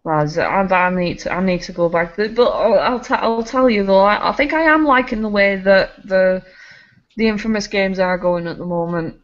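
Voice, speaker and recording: A woman co-hosting a casual gaming podcast, chatty and quick to laugh. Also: mid-range voice. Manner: chatty, laughs often, restarts sentences. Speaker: podcast co-host. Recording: slightly muffled home recording.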